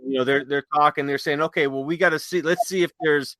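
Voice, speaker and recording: A man podcast host talking casually, telling stories in a halting, conversational way. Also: fairly high pitch, steady tone, bright, forceful tone, dry recording. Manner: halting, conversational, retells stories with quoted dialogue. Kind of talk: casual chat, rambling storytelling.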